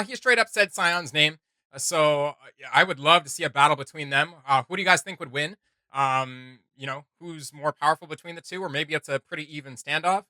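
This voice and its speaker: A man talking loosely through a reaction video he co-hosts, thinking aloud with frequent fillers and easy laughter. His voice is very bright and sits a little high for a man. The sound is dry and close. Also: dry recording. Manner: rambling, filler-heavy, laughs often. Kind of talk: casual reaction chat.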